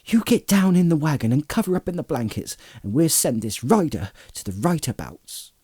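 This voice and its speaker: A man's voice: a little high, very animated, moderate pace, mellow, husky, no echo, studio quality, pitched above the performer's own voice. A man voicing an anxious, loyal young hobbit servant with an urgent, humble, rustic manner in a storybook reading. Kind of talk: audiobook character voice.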